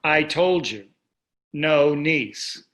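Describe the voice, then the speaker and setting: A well-off older husband in a stage drama, played by an actor. His voice sits a little high for a man and moves with lively intonation. He is glib and dismissive, breezy on the surface and curmudgeonly underneath. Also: drawn-out words, heavily acted. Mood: reluctant. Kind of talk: staged play reading.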